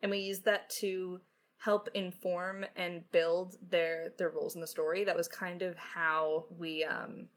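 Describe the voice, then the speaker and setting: A talkative woman speaking as a podcast writer in a casual Q&A, reflective and halting, with self-deprecating asides. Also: fairly low pitch, little pitch movement. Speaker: podcast lead writer answering questions. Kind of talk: casual creator Q&A.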